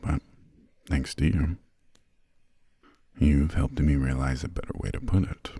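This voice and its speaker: A man playing an imperious, centuries-old vampire lord in an ASMR roleplay, courteous but condescending, with formal, measured, soft speech and a breathy, gravelly voice close to the microphone. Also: very deep voice, no echo, full character voice. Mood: condescending, wry. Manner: slow, measured, formal, haughty, drawn-out pauses. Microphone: close, dry studio microphone.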